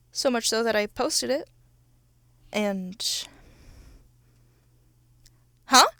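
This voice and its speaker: Young female creator, slightly high voice, unscripted and informal, halting delivery, very dry close recording. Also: a bit bright, no echo. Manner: informal, halting and self-correcting, with mild swearing. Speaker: ASMR roleplay creator and channel host. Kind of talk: casual off-script chat to listeners.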